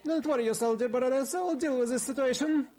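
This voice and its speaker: A man's voice: very high-pitched, quick pace, a little mellow, soft background music, pitched above the performer's own voice. A man playing a sly, suave aristocratic swindler in a theatrical period game fandub. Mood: commanding. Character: smooth, sly aristocratic male swindler, a Comte.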